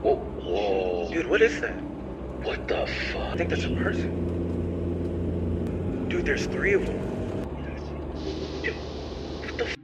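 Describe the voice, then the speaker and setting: A man's voice: mid-range voice, wildly swooping pitch, takes it slowly, long pauses, heavy background noise. A man playing an alarmed guy behind a camera in found-footage horror, exclaiming casually. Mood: alarmed disbelief. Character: alarmed young man filming strange things in the sky. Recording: muffled lo-fi camcorder audio, loud music.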